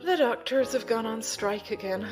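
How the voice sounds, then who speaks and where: A woman's voice: fairly high pitch, moderate pace, music in the background, natural voice. A woman playing a caring, composed older mother of grown children in an audio drama. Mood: worried.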